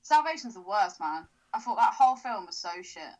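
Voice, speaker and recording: A woman co-hosting a podcast in casual chat, sarcastic and quick to swear, laughing often. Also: medium pitch, sing-song, a little mellow, low-quality recording. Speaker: podcast co-host.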